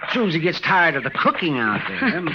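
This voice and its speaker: An actor playing a genial, teasing town doctor in an old-time radio western. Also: male voice, a little high, wildly swooping pitch, fairly quick. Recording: muffled, noisy old radio recording.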